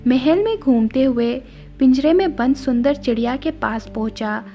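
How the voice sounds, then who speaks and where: A woman's voice: high-pitched, normal speed, dark tone, soft background music. A woman narrating a scripted moral story as the storyteller.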